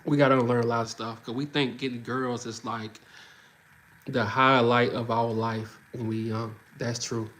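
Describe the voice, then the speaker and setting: A man's voice: mid-range voice, level tone, normal speed, fairly bright tone. A man, chatting loosely and energetically on a livestream and often saying "bro". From Louisiana. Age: 30s. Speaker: livestream host. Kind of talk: casual livestream chat, reacting to comments.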